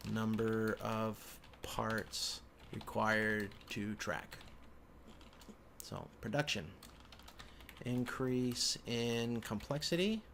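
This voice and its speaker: A man giving an informal tutorial as an instructor, thinking aloud in halting phrases with frequent restarts. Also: mid-range voice, fairly bright tone. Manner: halting, hesitant phrasing with repeated word restarts. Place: indoors at a computer. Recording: clear background noise, keyboard typing.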